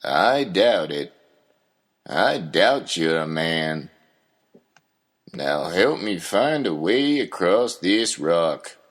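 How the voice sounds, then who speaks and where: A man acting a low, husky, doubting old man. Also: big pitch swings, very slow, long pauses, heavily acted. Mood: dry, doubting. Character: gruff, doubting old man, profane reluctant father figure. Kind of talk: audio drama acting.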